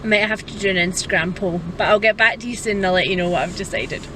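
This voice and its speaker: A woman's voice: mid-range voice, fast talker, background noise. A woman chatting casually and candidly to camera as a vlogger, a little self-deprecating. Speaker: book vlogger. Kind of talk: casual vlog chat to camera.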